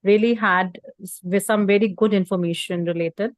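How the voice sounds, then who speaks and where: A woman's voice: medium pitch, steady tone, normal speed, no echo, clean studio sound. A woman hosting a student session, speaking in a courteous, formal way as she gives polite thanks and closing remarks. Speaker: host of online student session.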